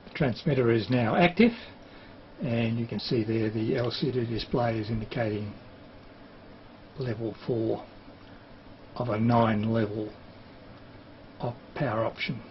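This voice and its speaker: A man's voice: medium pitch, unhurried, pauses often. An Australian man giving an unscripted technical demonstration, explaining things in a hesitant, matter-of-fact way. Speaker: amateur television operator and presenter. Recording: dull lo-fi sound with clear hiss.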